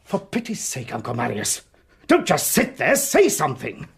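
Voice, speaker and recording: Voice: medium pitch, wildly swooping pitch, moderate pace, creaky. An actor playing a frantic, pleading relative in a period radio drama. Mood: panicked, pleading. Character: frantic, pleading messenger calling to an uncle.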